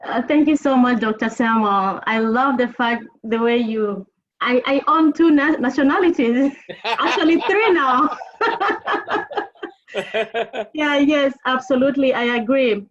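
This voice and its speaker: Congolese-born woman giving a webinar talk, earnest, lots of uhs, kinda high voice, dull video call sound. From Democratic Republic of Congo. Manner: earnest, grateful, halting with fillers. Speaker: webinar presenter. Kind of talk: formal webinar presentation.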